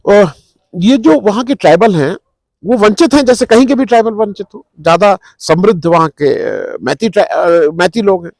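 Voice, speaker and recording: A man's voice: high voice, expressive, moderate pace, vocal fry, a little mellow, no echo. A middle-aged man gives unscripted political commentary, explaining things to viewers in a conversational way and mixing in English words. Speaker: news commentary host.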